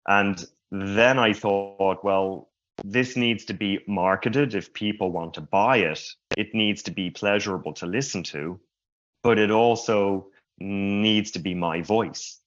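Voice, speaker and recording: A man who stutters giving a slow, reflective personal talk at a conference. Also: deep voice. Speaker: conference speaker. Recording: muffled lo-fi sound.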